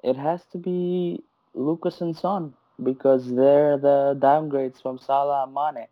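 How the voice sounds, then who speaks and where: A man's voice: medium pitch, fairly even intonation, slow. A man giving blunt, matter-of-fact opinions in a casual football podcast chat. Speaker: podcast panelist. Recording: tinny phone-like line.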